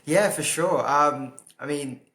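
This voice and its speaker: A man in his thirties, raised in Australia, answering interview questions thoughtfully with many filler pauses. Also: on the high side, bright, forceful tone. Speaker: guest, impact investor. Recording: roomy echo.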